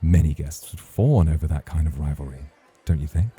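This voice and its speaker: Guy acting a super deep dark velvety club host, husky, smug n courtly, teasing u in an asmr roleplay. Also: brisk, heavily acted. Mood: teasing, courting. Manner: smooth, courtly, playfully provocative. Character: suave, teasing, self-assured champion nightclub host.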